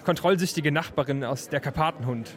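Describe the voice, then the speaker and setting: A man's voice: on the high side, fairly quick. A man in his twenties, a fan, chatting casually in an interview. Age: 20s. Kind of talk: casual chat. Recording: busy crowd noise behind.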